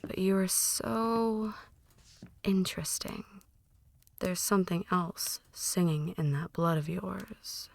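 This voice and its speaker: Woman acting as possessive vampire mistress, low-pitched, very slow, breathy whispers, menacing and teasing, intimate ASMR roleplay. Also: steady tone, a little mellow, dry recording. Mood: intrigued. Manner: slow, breathy delivery slipping often into whispers.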